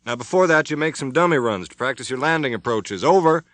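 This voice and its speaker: A man's voice: mid-range voice, expressive, quick pace, fairly bright tone, no echo, voice pushed up, close to an everyday voice. A man voicing a commanding airline captain who gives step-by-step radio instructions. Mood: coaching. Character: middle-aged airline captain, firm and commanding. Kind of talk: audiobook character voice.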